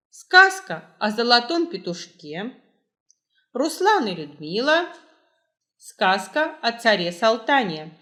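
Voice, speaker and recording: A woman hosting a quiz, reading scripted questions and answers aloud with deliberate, measured enunciation. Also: mid-range voice, bright, forceful tone. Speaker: quiz host, librarian.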